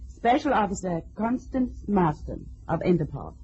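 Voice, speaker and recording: Woman playing a expressive radio-drama policewoman. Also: medium pitch, moderate pace, over loud music, natural voice. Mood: steely, formal. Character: composed, steely female undercover police officer. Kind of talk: old-time detective radio drama acting. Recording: dull, noisy vintage radio recording.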